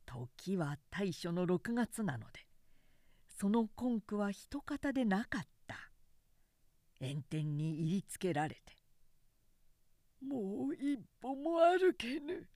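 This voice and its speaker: A woman narrator giving a measured, carefully enunciated dramatic reading of literature. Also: fairly low pitch, sing-song, mellow, no echo, clean studio sound. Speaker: professional narrator.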